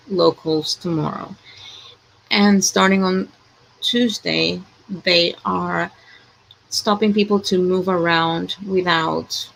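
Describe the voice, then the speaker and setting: Gravelly, worried woman from Ecuador. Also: lowish voice, fairly bright tone, slight background noise, poor audio. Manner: worried, sighing, hesitant. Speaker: host of a live chat. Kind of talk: casual livestream chat.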